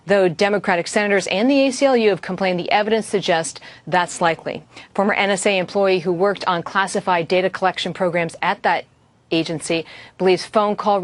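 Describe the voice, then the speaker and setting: A woman with an American accent delivering a scripted broadcast news report as a correspondent. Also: medium pitch.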